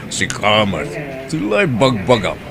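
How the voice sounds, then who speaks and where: A man's voice: fairly low pitch, huge pitch swings, slow pace, creaky, over music, exaggerated voice. A man playing a jovial, teasing older village man in a comedy drama, with an Ilocano accent.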